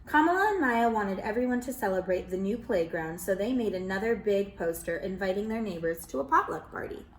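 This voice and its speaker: A woman reading a children's picture book aloud, expressive and animated, calling listeners friends. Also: medium pitch, a little fast, hardly any pauses, a little hiss or hum. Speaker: children's storyteller. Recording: roomy echo.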